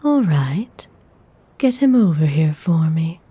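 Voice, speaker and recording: Hushed, breathy vampire noblewoman, acted by a woman. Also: sits low, big pitch swings, heavily acted. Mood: calmly commanding. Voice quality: soft, breathy, often whispered. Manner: formal, unhurried, aloof. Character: refined, world-weary vampire noblewoman seeking a meal. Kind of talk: ASMR roleplay acting.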